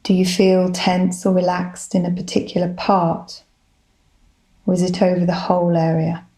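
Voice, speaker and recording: A woman leading a guided body scan meditation, giving gentle, soothing instructions in a calm manner. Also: lowish voice, moderate pace. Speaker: psychologist, guided meditation leader.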